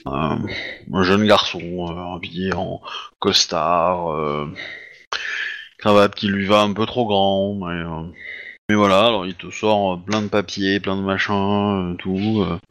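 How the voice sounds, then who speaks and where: Low-voiced man hesitantly narrating a game. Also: fairly even intonation, unhurried, fairly bright tone, muffled recording. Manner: hesitant, many fillers, casual slang. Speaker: game master.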